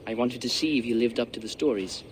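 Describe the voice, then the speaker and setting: An actor playing a mocking, defiant seventeen-year-old prince in a video game. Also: male voice, mid-range voice, quick pace, a little hiss or hum, close to an everyday voice. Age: teens.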